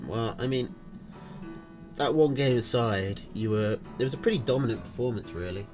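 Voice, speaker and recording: A man's voice: mid-range voice, moderate pace, music in the background. A man with a nasal voice interviewing a player casually, tired and rambling. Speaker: stream interviewer, caster. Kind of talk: casual post-match interview.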